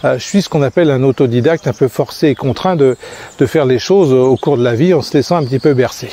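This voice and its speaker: A man's voice: mid-range voice, fast, warm tone, slight background noise. A man in his sixties, a crêpe-making trainer, talking casually to a phone held at arm's length while walking in a park, rambling with many fillers and restarts. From France. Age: 60s. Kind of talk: casual vlog monologue. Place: park outdoors. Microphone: phone at arm's length, selfie framing.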